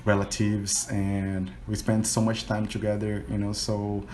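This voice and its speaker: A man's voice: fairly low pitch, completely flat delivery, unhurried. Brazilian guy, portuguese accent, chatty, keeps saying you know, faint room noise. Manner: warm, chatty, often laughs, fills with "you know". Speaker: English teacher and learner. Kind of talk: casual conversation practice call. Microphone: video call.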